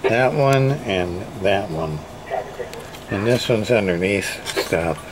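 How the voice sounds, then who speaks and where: Slow-talking man muttering over repair work. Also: on the low side, animated, background noise. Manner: halting, muttering to himself as he works. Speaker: radio repairman and video host. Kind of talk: casual hands-on repair commentary. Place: indoor repair workbench.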